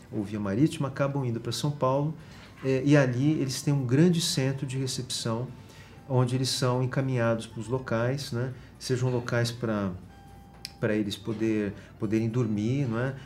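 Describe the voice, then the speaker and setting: A Brazilian man, a guest professor, explaining in an interview with frequent pauses and restarts. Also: mid-range voice, darkish tone, a little hiss or hum. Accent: Brazilian Portuguese. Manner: explanatory, many fillers and restarts.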